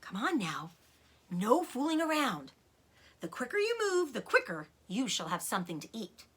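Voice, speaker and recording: Storybook father fox acted by a woman, proud and excitable, swinging pitch, husky edge, commanding, loving. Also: moderate pace, heavily acted. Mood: bossy, urgent. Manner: exclamatory and commanding, dropping to cautious hushes.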